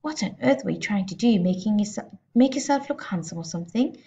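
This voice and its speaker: A woman voicing a shrill, exasperated middle-aged mother who scolds and shrieks in a storybook. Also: animated, brisk, warm tone, natural voice. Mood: scolding.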